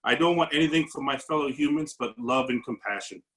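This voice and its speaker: A man's voice: mid-range voice, brisk, a bit bright. A man, a paramedic, sharing an earnest, searching reflection in a discussion over a video call. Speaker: paramedic audience member.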